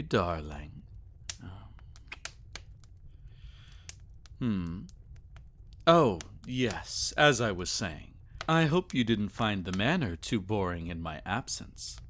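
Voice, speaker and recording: A man voices a vampire lord in a romantic audio roleplay, doting and a little possessive. His voice sits on the low side and moves very slowly. He speaks intimately, teasing his beloved with soft chuckles and sighs. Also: slight background noise, exaggerated voice. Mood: sated, tender.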